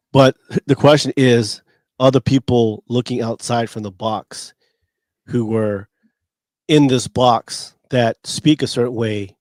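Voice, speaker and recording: A man co-hosting a casual podcast discussion, talking in an animated way. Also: mid-range voice, no echo. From Filipino family, English first language. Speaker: podcast co-host.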